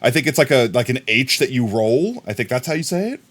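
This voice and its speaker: An American man talks casually to his viewers, rambling along and yelling excited thanks now and then. His pitch sits in the middle but moves a lot, so the voice sounds lively and animated. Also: fast talker. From United States. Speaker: livestreamer. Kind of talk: casual live-stream chat.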